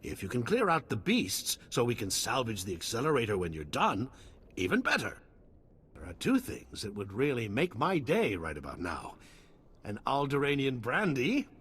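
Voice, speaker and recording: An actor playing a flamboyant, self-amused tech salesman in a video game, pitching theatrically with joking asides and dramatic pauses. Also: very animated, a bit bright, husky. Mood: sheepish, then wry joking. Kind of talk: video game character acting.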